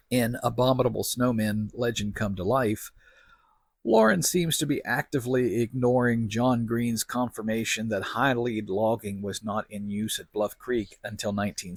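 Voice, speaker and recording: A man hosting a podcast, delivering semi-scripted commentary in a folksy, wry manner with deliberate phrasing. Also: on the low side, no echo. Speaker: podcast host.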